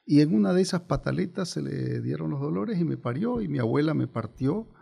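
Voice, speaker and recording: A man's voice: a little high, animated, a little fast, vocal fry, warm tone, husky. An older man with a Venezuelan accent, telling stories as a guest in a conversational interview. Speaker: interview guest, biographer and former politician.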